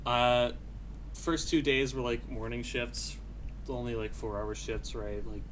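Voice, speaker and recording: A man's voice: medium pitch, flat delivery, a little slow, a bit bright, slight background noise, poor audio. A man hosting and editing a casual podcast, rambling and self-deprecating as he chats. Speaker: podcast host and editor. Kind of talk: casual chat.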